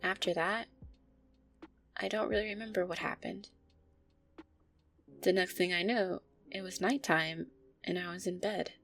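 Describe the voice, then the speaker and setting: A woman acts out an affectionate vampire girlfriend in an intimate audio roleplay. Her voice sits fairly low with plenty of fry, and she speaks in a hesitant, conversational way, easily flustered. Music plays softly behind her. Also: steady tone, measured pace, long pauses. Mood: earnest, reminiscing. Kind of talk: intimate audio roleplay, first-person storytelling to a listener.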